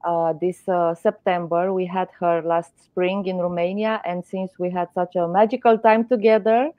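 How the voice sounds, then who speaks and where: A woman's voice: fairly low pitch, fairly even intonation, normal speed, dry recording. A woman hosting a live online interview, hesitant with many fillers. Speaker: host of a live stream. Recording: dry, muffled lo-fi sound.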